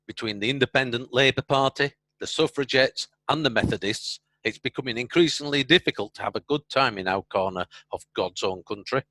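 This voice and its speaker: An actor playing a stern, pompous magistrate with an authoritative manner in a period courtroom play. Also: male voice, medium pitch, bright, forceful tone, natural voice. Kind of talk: theatrical courtroom play acting.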